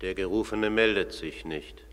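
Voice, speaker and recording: An actor playing a solemn herald of the underworld court, announcing ceremoniously in a radio drama. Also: male voice, sits low, fairly bright tone. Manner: formal, ceremonious, measured. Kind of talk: scripted radio drama narration. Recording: long cavernous hall reverb.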